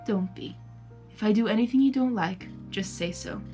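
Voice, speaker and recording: Doting male creature groom, read by a woman, hushed and loving, storybook romance, faint music behind. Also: mid-range voice, moderate pace, natural voice. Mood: soft, reassuring. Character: Discord, tender male creature newly wed, soft and doting.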